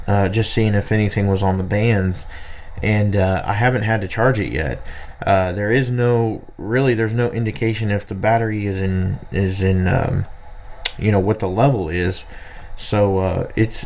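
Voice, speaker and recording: Male hobbyist reviewer, lowish pitch, rambling and unscripted, slight background noise. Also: darkish tone. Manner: rambling, hesitant, trailing off. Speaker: radio hobbyist reviewing gear. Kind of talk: casual unscripted product review.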